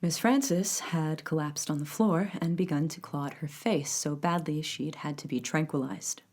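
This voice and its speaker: A woman's voice: sits low, normal speed, darkish tone. A woman narrating backstory in an audio drama in a matter-of-fact way.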